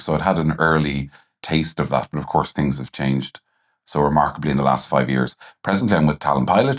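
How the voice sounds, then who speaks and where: A man with an extremely low voice moderates a podcast roundtable. His phrasing is polite and a little hesitant as he steers the conversation.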